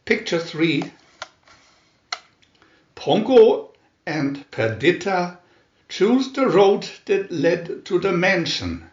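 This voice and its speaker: A man narrating a children's story in a deliberate, expressive read-aloud manner. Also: sits high. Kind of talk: scripted children's story reading. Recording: roomy, echoey, dull sound.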